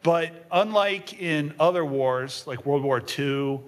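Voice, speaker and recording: A man's voice: on the high side, slow pace. A man speaking on a panel in an auditorium, giving a talk and answering audience questions with frequent ums and restarts. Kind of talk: lecture and audience Q&A. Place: auditorium stage panel table. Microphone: table microphone.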